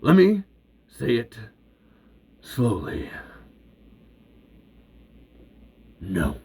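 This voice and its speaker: A man reading out a screenplay, voicing a grumpy, stubborn male sidekick with gruff, sarcastic lines. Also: lowish voice, lively intonation, slow, pitched below the performer's own voice, heavily acted. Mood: grumbling.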